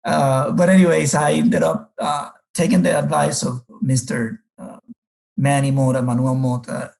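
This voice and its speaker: A man's voice: medium pitch, fairly even intonation, moderate pace, gravelly, darkish tone. A middle-aged man from the Republic, a baseball coach telling his life story unhurriedly in an interview, with many fillers. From Dominican Republic.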